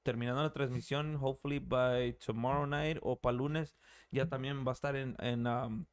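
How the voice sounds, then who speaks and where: A relaxed man in his thirties hosts a casual podcast, sliding between English and Spanish. His voice is smooth and resonant, recorded close and dry with no room sound. He swears easily and reads out shout-outs in an easygoing way. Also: medium pitch, brisk, no room sound. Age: 30s. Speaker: podcast host.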